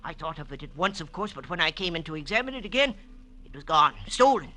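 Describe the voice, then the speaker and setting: A fussy older gentleman collector, high-pitched and very sharp-toned. His delivery swings up and down, flustered and genteel with small stammers, in old-time radio drama style. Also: very animated, fast talker. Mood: earnest, agitated. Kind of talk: 1940s radio drama acting.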